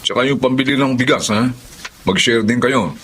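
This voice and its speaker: Radio drama role, played by a man: irritable older brother, gruff and bossy, tense bright voice, faint noise behind. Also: mid-range voice, normal speed, lightly acted. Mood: demanding.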